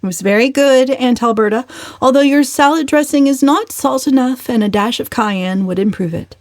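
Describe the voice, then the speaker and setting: Woman acting a defiant, high-pitched young woman. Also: normal speed, no room sound, clean studio sound, close to an everyday voice. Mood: brazen, cool. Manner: emphatic, biting retorts. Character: defiant young woman, brazen, sharp-tongued, scornful.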